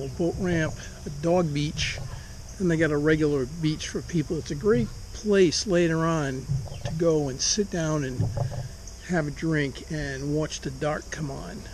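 A middle-aged man talks easygoingly to a camera set on a picnic table, rambling a little with fillers. His voice sits a touch high for a man. Clear wind and outdoor ambience run underneath. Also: a little mellow, low-quality recording. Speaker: motorcycle travel vlogger. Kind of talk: casual travel vlog.

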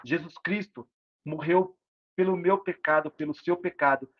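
A man's voice: a little high, normal speed. A man in his fifties giving an earnest short devotional on a video call from his home, with a repetitive preaching cadence. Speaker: lay preacher. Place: home room with large windows. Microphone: laptop webcam mic on a video call. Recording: dull lo-fi video call audio.

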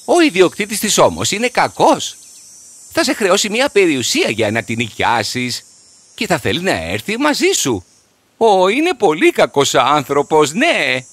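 Man voicing an expressive, gentle young elephant driver. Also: fairly high pitch, breathy edge, full character voice. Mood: fond, indignant.

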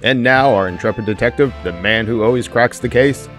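Guy doing gushing 1940s radio announcer, dramatic sales pitch, music behind. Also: a little fast, a bit bright, natural voice. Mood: grand, promotional. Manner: dramatic, promotional. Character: gushing old-time radio announcer introducing a mystery show.

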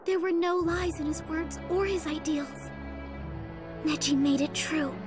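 A woman playing a gentle, earnest, quietly resolute young ninja woman in an anime game dub. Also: high voice, measured pace. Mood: earnest, tender. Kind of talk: dubbed anime game acting. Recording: loud music under the voice.

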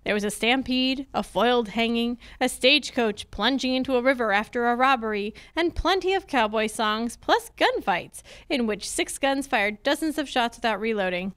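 A woman's voice: a little high, lively intonation, moderate pace, few pauses, fairly bright tone, smooth. A young woman playing a sassy, suspicious female private eye in a 1940s-style radio drama, with an arch, wry manner. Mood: wry narration. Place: live on a stage before a curtain. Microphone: stand microphone.

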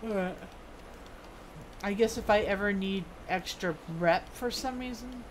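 A woman's voice: mid-range voice, a little slow. Musing woman streamer over noisy game ambience. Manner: musing, half talking to herself. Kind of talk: casual live gameplay commentary.

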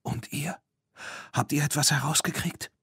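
A man voicing an adventurous, secretive young girl in a children's audiobook, in a hushed, breathy half-whisper. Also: a little high, normal speed, dry recording, studio quality, voice pushed up, exaggerated voice. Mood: hushed eagerness.

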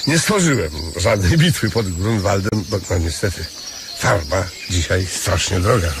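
An actor playing a crafty, vain beggar and down-at-heel artist in a radio drama, rambling hesitantly with provincial turns of speech. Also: male voice, sits low, lively intonation, normal speed, background noise. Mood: boastful, plaintive.

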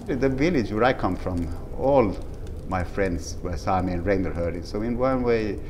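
A man's voice: fairly low pitch, lively intonation, normal speed, background noise. A middle-aged man from Sweden, telling of himself in a documentary interview. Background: prominent music.

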